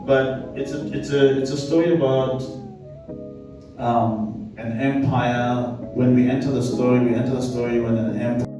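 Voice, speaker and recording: A man talks reflectively at a panel in a mid-pitched voice, often pausing and circling back over his phrases. He is heard at a distance in a very reverberant room, with loud music underneath. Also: steady tone. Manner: halting, pauses and repeats phrases. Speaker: director, panel speaker. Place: echoey event space at a public panel. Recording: roomy, distant mic, loud music behind.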